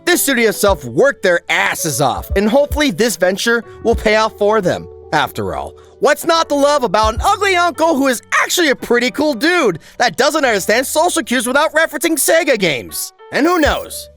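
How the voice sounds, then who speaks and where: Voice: medium pitch, wildly swooping pitch, normal speed, hardly any pauses, fairly bright tone. A video essay host narrating a script in a sarcastic, exaggerated way and swearing freely. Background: background music.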